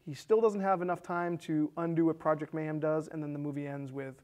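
A man's voice: fairly high pitch, fairly even intonation, brisk, a little mellow. A man in his thirties casually talking through a movie's story as co-host of a film discussion show. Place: small indoor studio set with curtains.

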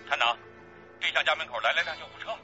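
An actor playing a tense plainclothes police officer reporting from a stakeout over police radio, in a radio drama. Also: male voice, on the high side, fast talker, pushed and bright, muffled recording, soft background music. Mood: alert.

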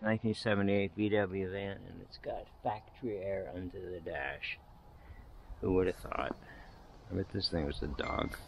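A man's voice: low voice, fairly even intonation, normal speed, slight background noise. A middle-aged American man giving casual, wry, folksy walking-tour commentary as a vlogger on a handheld camera outdoors. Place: outdoors in an overgrown field. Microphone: handheld action camera.

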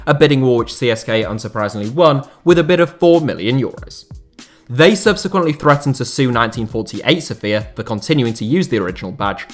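A man, the host of a channel, reading a scripted documentary narration in a measured, even storytelling manner on a close voice-over mic. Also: medium pitch. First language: not Bulgarian.

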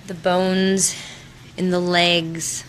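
Slow, even-toned woman guiding meditation. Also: fairly low pitch, a little hiss or hum. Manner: soothing, unhurried, with long pauses. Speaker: guided meditation leader. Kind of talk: guided meditation, body scan.

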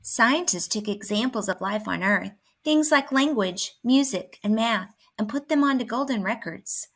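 A woman reading a children's picture book aloud in an expressive manner. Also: on the high side, normal speed. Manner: expressive, acting out dialogue. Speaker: storybook reader.

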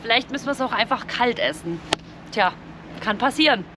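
A woman's voice: on the high side, lively intonation, a little fast. A woman narrating a casual camping vlog in a chatty, informal way, explaining as she goes. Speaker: camping vlogger. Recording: clearly noisy, outdoor and vehicle ambience.

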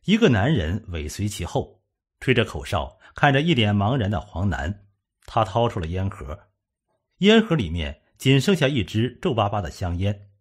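Male narrator, suspense audiobook, low pitch, lively intonation, scripted delivery. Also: normal speed, breathy edge.

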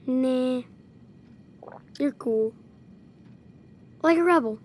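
Gentle ten-year-old boy. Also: sits high, full character voice. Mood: earnest. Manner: slow, hesitant, sincere.

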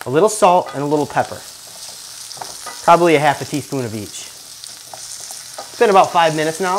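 Casual male cooking host, 30s, jokey and relaxed, slightly high voice, home kitchen. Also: slight background noise, low-quality recording. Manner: laid-back, jokey, talks to camera while cooking.

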